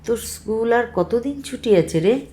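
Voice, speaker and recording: A woman's voice: on the high side, expressive, normal speed, dark tone, voice pushed up, lightly acted. A woman voicing an indulgent but shrewd uncle in a children's story, coaxing and probing as he talks. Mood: slyly probing. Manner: coaxing, probing, mock-approving.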